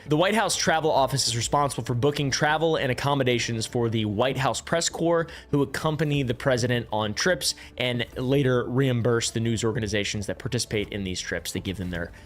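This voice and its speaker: A man's voice: mid-range voice, fairly quick, few pauses. A young man who streams, giving sarcastic, casual commentary on a political story and swearing now and then.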